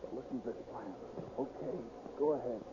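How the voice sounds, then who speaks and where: A man's voice: mid-range voice, brisk, natural voice. An actor playing a desperate, slangy male convict plotting in a noisy, muffled 1930s radio drama. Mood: conspiratorial, urgent. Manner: slangy, conspiratorial. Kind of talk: 1930s radio crime acting.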